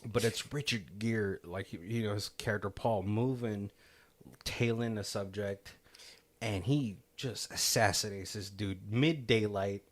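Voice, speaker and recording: A man's voice: lowish voice, a little slow. A young man casually recapping a movie on a podcast, rambling and laughing often as he acts out the characters' lines. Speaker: podcast co-host. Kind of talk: casual movie commentary chat.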